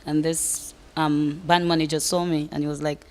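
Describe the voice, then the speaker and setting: A woman's voice: sits low, little pitch movement, normal speed, darkish tone. A woman from Saint Lucia with a Saint Lucian accent, a singer chatting casually as an interview guest.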